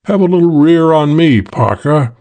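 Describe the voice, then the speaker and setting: A sly, boastful English opium smoker, put on by a male narrator in an audiobook. The voice sits notably higher than the reader's own, drawn out and slurred, with a lazy swagger. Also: animated, normal speed, warm tone. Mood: expansive. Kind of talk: audiobook character voice.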